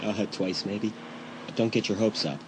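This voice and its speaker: Wry teenage boy, acted by a man, over loud background noise. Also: moderate pace, lightly acted. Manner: dry, teasing. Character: wry, laid-back teenage stepbrother. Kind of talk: dramatised audiobook acting. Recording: loud background ambience and rumble.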